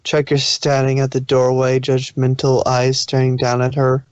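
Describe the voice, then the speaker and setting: A man's voice: mid-range voice, monotone, moderate pace, a little mellow, clear, resonant tone, dry recording, lo-fi sound. A man narrating a story aloud as a fan fiction reader, occasionally stumbling over the text.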